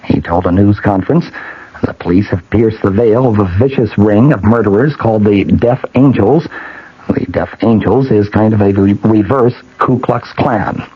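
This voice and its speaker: An American man reading a radio news report in a measured, factual way, on an old broadcast recording. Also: on the low side, husky. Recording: muffled 1970s radio broadcast.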